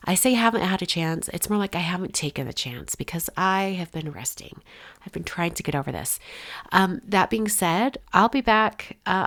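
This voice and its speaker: A woman's voice: lowish voice, no echo. A woman hosting a card-making channel, narrating a casual step-by-step voice-over while congested from a head cold. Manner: chatty, relaxed, explains step by step.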